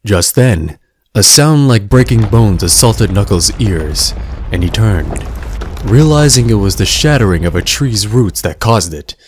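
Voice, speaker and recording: A man narrating a scripted adventure story in a measured, dramatic storybook manner. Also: lowish voice, expressive, slight background noise.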